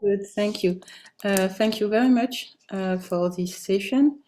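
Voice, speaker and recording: A woman's voice: medium pitch, unhurried, mellow, slight background noise. Hesitant French-accented woman. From France. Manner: hesitant, many ums and uhs. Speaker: co-author at a book launch. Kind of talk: casual online talk. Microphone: video call.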